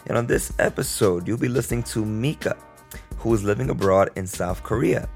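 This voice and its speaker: A man's voice: on the low side, fairly quick, gravelly, a little mellow, soft background music. A man speaking as a podcast host in a casual, conversational interview style. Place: remote long-distance call from Costa Rica.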